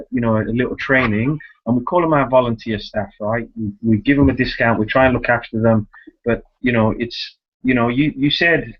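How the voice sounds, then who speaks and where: A man hosting a conversational interview on a conference call, talking emphatically and often checking for agreement. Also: mid-range voice. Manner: emphatic, repeats words and seeks agreement with tag questions. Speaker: host, youth soccer club director. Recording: muffled, lo-fi conference call line.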